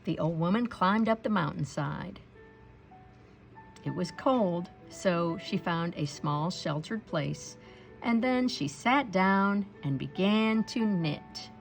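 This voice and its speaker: Woman storybook narrator: very unhurried, lowish voice with a gravelly edge, expressive delivery for young children. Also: faint music behind. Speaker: storybook read-aloud reader.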